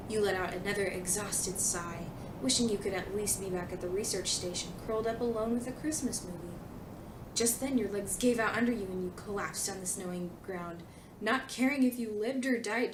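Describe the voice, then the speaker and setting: A woman talking casually and reading aloud as a comedic host, with wry conversational asides. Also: mid-range voice. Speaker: video host and fan fiction reader. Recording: roomy echo with faint background noise.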